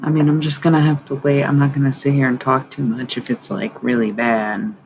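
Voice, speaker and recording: A woman with a raspy voice hosting a live stream from home at night, chatting loosely to viewers and swearing freely. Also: very deep voice, flat delivery, brisk, background noise. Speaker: livestream host. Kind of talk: casual live-stream chat. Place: at home at night, others asleep nearby.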